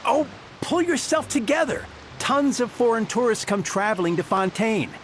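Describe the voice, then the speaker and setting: Henchman, male, brash and bossy, high lively voice. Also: moderate pace, slight background noise. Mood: scolding. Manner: scolding, brash. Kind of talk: game voice acting.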